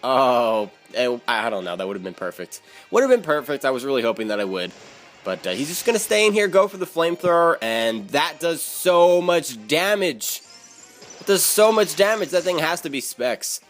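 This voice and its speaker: A man's voice: fairly high pitch, sing-song, moderate pace, fairly bright tone. Man giving game commentary over music. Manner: repeats words and phrases for emphasis. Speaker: gamer narrating own battle video. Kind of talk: video game battle commentary.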